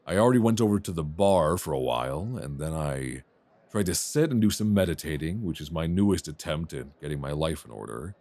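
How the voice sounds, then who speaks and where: Romance lead played by a man: low, animated voice, flirty and self-deprecating, close dry binaural mic. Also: a little fast, no echo. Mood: flirty, sheepish. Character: friendly, flirtatious rough-and-tumble male traveller, a writer. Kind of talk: intimate ASMR romance roleplay. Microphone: close binaural microphone.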